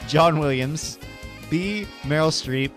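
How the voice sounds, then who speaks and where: A man's voice: on the high side, slow pace, faint music behind. A man hosting a radio show in an informal, conversational style. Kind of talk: casual radio chat.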